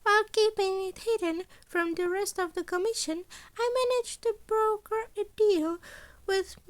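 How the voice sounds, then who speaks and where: A woman voicing a small male mammal school principal, formal, deliberate and calculating, in an audio story. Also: extremely high pitch, pitched above the performer's own voice.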